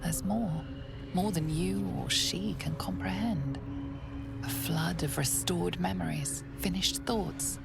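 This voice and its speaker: A mysterious, grave female narrator for a fantasy video game. Her low voice is hushed and suspenseful, moving slowly beneath loud music. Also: vocal fry, exaggerated voice. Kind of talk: scripted second-person game narration.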